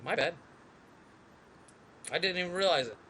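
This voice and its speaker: A man with a fairly high, bright voice and an easygoing manner as he co-hosts a live-stream podcast. He reads lists aloud over a computer mic, with a faint hiss behind him. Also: moderate pace. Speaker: podcast co-host. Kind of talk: casual live-stream chat.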